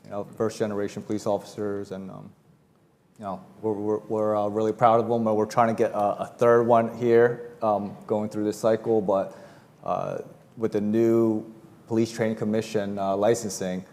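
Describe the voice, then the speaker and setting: A man's voice: fairly low pitch, level tone, moderate pace, a little mellow, in a large hall. A man whose first language is Chinese, a police officer speaking casually with many fillers on a panel. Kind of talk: panel discussion. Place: university forum hall.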